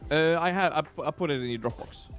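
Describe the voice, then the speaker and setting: A man's voice: on the high side, fast talker, fairly bright tone, faint music behind. A man bantering casually while playing a game along with friends.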